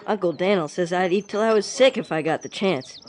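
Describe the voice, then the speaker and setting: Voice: medium pitch, brisk, slight background noise, natural voice. A young adult actor playing an eager, naive orphan boy in an audio drama, with earnest, folksy country talk. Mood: wistful, hopeful. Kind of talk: audio drama dialogue and first-person narration. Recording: music bed behind the voice.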